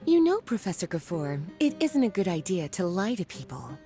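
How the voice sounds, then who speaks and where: An actress voicing a calm, lightly teasing female librarian and mage. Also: lowish voice, moderate pace, soft background music. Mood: firm. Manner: cool, languid, lightly teasing.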